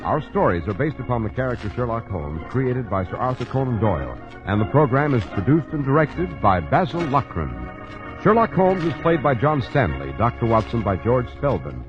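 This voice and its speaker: A man's voice: mid-range voice, sing-song, normal speed. A man reading scripted announcements as a 1940s radio announcer. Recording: dull vintage radio recording. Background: organ music.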